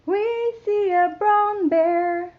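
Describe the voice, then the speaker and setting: A woman hosting a children's storytime, reading a picture book in a playful, expressive sing-song way for very young children. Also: extremely high pitch, very slow, phone-quality sound. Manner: imitates animal sounds.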